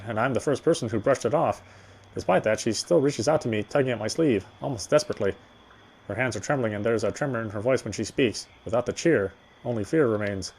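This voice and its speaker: A man reading the first-person narration of a horror visual novel in a measured, brooding way. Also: mid-range voice, darkish tone, breathy edge. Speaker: reader.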